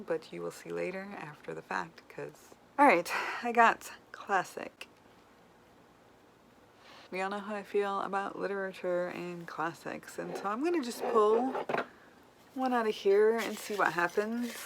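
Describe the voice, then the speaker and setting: A woman's voice: fairly low pitch, rough recording. A woman chatting casually as a book vlogger, with an informal, wryly self-deprecating manner. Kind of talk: casual chat to camera. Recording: clear background noise.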